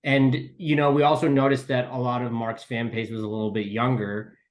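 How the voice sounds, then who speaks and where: A man's voice: mid-range voice, level tone, brisk. A man speaking casually as a podcast guest, telling how a creative project began, with plenty of conversational filler words. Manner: conversational, frequent 'like' and 'you know'. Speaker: podcast guest, screenwriter and co-creator of an audio drama. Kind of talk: casual interview answers.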